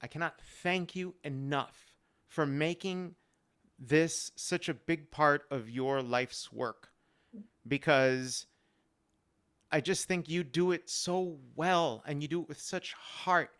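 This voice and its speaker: A man's voice: on the high side, fairly even intonation, takes it slowly, a bit bright, smooth. A man speaking as a panel guest on a live stream, telling personal stories earnestly and repeating phrases for emphasis. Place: remote live-stream video call.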